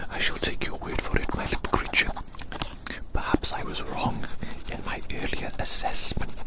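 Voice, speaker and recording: Hushed, whispering ASMR roleplayer. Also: rough recording. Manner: slow, repeats phrases, addresses listener as little friend. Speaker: ASMR performer. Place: outdoors.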